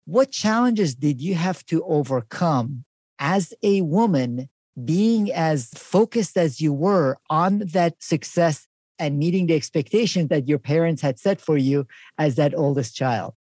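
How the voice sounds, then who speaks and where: A man's voice: fairly high pitch, animated, unhurried, darkish tone, dry recording. A man hosting a podcast interview, asking long, appreciative questions. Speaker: podcast host.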